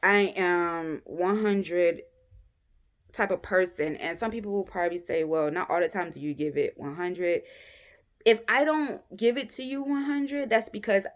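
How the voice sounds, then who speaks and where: A young woman in a casual vlog, speaking straight to camera in a frank, chatty way. Her voice sits slightly low for a woman. She pauses on "um" often and hurries through some phrases, then drags out others. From United States. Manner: candid and chatty, many "um" pauses, speeds up and slows down. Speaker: vlogger. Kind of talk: casual talking-head vlog.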